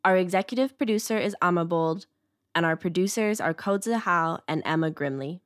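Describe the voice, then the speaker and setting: A woman's voice: on the low side, fairly quick, no echo, clean studio sound. A woman reading scripted credits as the announcer of an audio drama.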